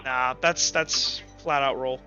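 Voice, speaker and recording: A man's voice: mid-range voice, steady tone, slow pace, bright, forceful tone, highs cut off, soft background music. A man casually narrating a tabletop roleplaying game as game master, thinking aloud and correcting himself as he goes.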